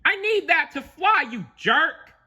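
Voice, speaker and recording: A cheeky cartoon seagull, acted out by a man, indignant and snarky. It squawks in a raised, sharp voice. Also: extremely high pitch, sing-song, takes it slowly, voice pushed up, full character voice.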